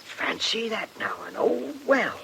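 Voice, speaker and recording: An actor playing a cheery, deferential working-class tradesman in a radio drama, chatty and colloquial with a gravelly voice. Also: very high-pitched, animated, measured pace, sharp tone, slight background noise, exaggerated voice. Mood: impressed, obliging.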